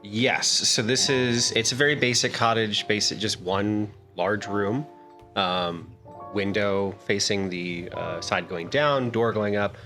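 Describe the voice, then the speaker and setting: A man playing a tabletop game, rambling quickly as he describes a scene, with plenty of fillers. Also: on the low side, steady tone, bright, forceful tone, faint music behind. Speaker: player.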